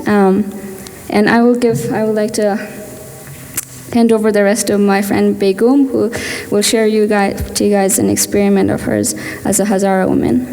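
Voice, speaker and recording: A woman's voice: mid-range voice, warm tone, in a large hall, low-quality recording. A teenage girl giving an earnest speech at a gala, often restarting her sentences. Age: teens. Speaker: student speaker. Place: large event hall.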